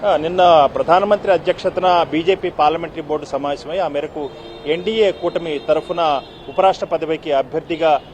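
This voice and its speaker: A man giving an unscripted live news report as a correspondent, halting and repetitive with frequent filled pauses. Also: on the high side, steady tone, a little hiss or hum.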